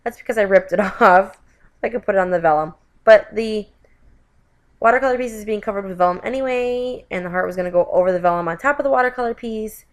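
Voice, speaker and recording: A woman's voice: mid-range voice, fast talker. Woman, craft host, voiceover. Stuffy from a cold, friendly running chatter with self-corrections. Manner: chatty, with frequent self-corrections and "you guys". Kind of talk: voiceover narration of a card-making tutorial.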